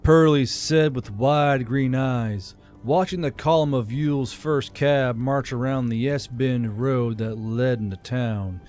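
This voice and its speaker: A male audiobook narrator reads scripted fantasy prose. His voice sits at a medium pitch as he delivers the descriptive storytelling. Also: slow pace, hardly any pauses, a little mellow, soft background music.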